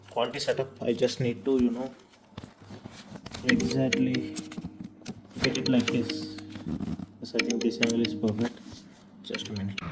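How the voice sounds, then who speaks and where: A man with a Telugu Indian accent gives casual, unscripted vlog commentary at a medium pitch. He speaks haltingly and often repeats his phrases. Faint outdoor and vehicle noise sits behind his voice. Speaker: motovlogger reviewing gear.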